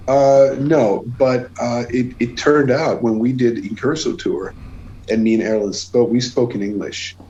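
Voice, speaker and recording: A man's voice: mid-range voice, steady tone, measured pace, dark tone. A Swedish man in his forties, speaking English as a second language and chatting as a podcast guest, rambling with many restarts. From Sweden. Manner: rambling, restarts with repeated words. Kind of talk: casual chat. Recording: dull lo-fi audio.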